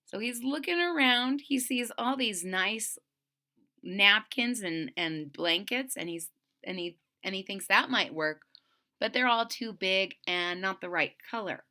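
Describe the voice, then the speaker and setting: A woman's voice: medium pitch, expressive, measured pace, pushed and bright. A woman reading a children's story aloud expressively and retelling it in simple words.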